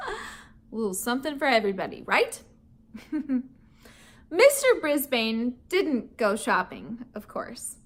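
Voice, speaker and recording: A woman's voice: fairly high pitch, sing-song, slow, pauses often. A woman teacher reading a children's book aloud and teaching vocabulary words, playful and laughing often, with chatty asides to young listeners.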